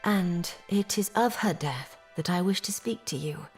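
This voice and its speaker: An actress playing a troubled young Victorian gentlewoman, telling her story formally in an audio drama. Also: on the low side, unhurried, slightly rough, soft background music. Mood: sorrowful. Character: distressed Victorian English gentlewoman recounting family misfortune. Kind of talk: period audio drama acting, narrative monologue.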